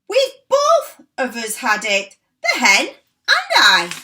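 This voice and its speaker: A woman doing a shrill cackling old granny. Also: little pitch movement, moderate pace. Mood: gleeful. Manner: shrill, shouting, boastful. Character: cackling old grandma stuck through a roof.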